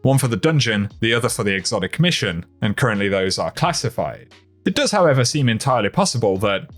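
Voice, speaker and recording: A man with a lowish, very animated voice delivers upbeat gaming news commentary. His emphatic phrasing swoops up and down, and soft music plays underneath. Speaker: gaming video creator and narrator.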